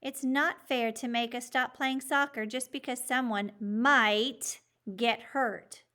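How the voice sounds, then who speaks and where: A woman's voice: a little high, fairly even intonation, slow pace, pushed and bright, pitched above the performer's own voice. Woman reading as an insistent, outspoken schoolboy. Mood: protesting. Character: eager, outspoken schoolboy, protesting and determined. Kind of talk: children's storybook character reading.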